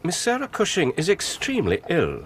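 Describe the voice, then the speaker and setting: An actor playing a stern, guarded Victorian physician in a radio drama, speaking formally and with measured dismissiveness. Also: male voice, on the high side, wildly swooping pitch, a bit bright, slight background noise. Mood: guarded, dismissive. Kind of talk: British radio drama acting.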